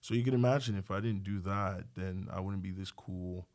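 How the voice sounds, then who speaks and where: A man's voice: deep voice, fairly even intonation, moderate pace, a little mellow. A man from Nigeria, chatting casually as a doctor and podcast guest in a self-deprecating, joking way. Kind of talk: casual interview chat.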